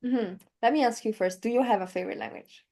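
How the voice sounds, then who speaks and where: Mellow-voiced young woman explaining methods. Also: fairly high pitch, quick pace. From Northern Italy. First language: German. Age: 20s. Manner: enthusiastic, explanatory, walks through steps with examples. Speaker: language coach. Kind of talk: interview conversation.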